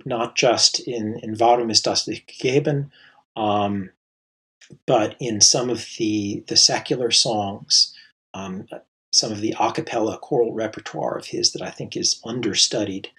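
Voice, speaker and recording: Guy composer talking it through, super breathy husky voice, lots of ums. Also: on the low side, a bit bright, poor audio. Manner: thoughtful, halting, many fillers and restarts. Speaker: composer guest. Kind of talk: reflective interview answers.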